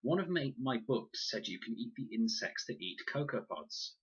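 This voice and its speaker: A man's voice: medium pitch, very animated, normal speed, breathy edge, dull audio, voice pushed up. A man voicing an earnest, uneasy boy adventurer in a storybook, tentative as he reasons. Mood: defensive.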